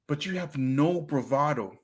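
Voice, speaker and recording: A man hosting a reaction livestream, preachy and animated, swinging between loud and hushed delivery. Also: a little high, a little slow, husky. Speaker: livestream host.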